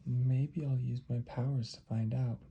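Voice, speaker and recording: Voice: medium pitch, level tone, a little slow, slightly rough, highs cut off. A storyteller voicing a kind, confident, curious little girl in a children's story.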